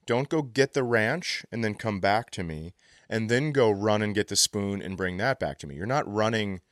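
A man with a fairly low voice talks as a comedy podcast co-host. He riffs crudely and swears freely in loose, casual chat, recorded close and dry. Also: expressive, moderate pace, no room sound. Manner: profane, riffing.

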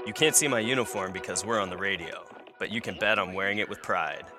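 Deep-voiced man in a radio beer ad. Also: fast talker. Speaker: radio ad announcer. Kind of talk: scripted radio commercial. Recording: voice over ad music.

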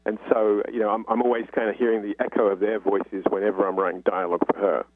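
A man's voice: lowish voice, little pitch movement, fast, husky, no room sound. A man from North London with a London accent, answering radio interview questions as a guest novelist in a reflective, explanatory way over a phone-like line. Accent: London English. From North London, England. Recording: phone-like, muffled narrow-band line.

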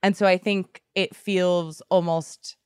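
A woman's voice: lowish voice, fairly even intonation, takes it slowly, smooth, no room sound, clean studio sound. A woman co-hosting a podcast, chatty and self-deprecating, laughing often. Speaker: podcast co-host. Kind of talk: casual chat.

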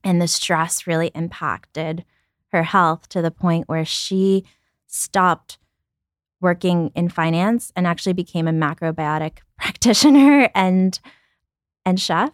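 A woman in her thirties speaks candidly as a podcast guest, with a somewhat low voice. She thinks aloud, restarts her sentences and slips in light laughs. The sound is dry and close. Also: a little slow, no room sound. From born in Ukraine, raised in Brooklyn, New York. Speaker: guest, company founder.

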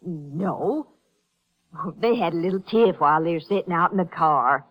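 A woman's voice: fairly low pitch, expressive, moderate pace. An actress playing an anxious, folksy rural mother with a Southern American accent in a 1950s radio western, hesitant and protective. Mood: anxious, defensive. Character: anxious, folksy rural Texas mother defending her son. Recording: dull, lo-fi 1950s radio recording.

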